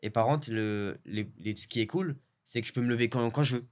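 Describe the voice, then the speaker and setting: A young man from French Guiana, a video editor, chatting in a relaxed way with lots of fillers and restarts. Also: medium pitch, fairly quick. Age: young adult. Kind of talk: casual interview chat.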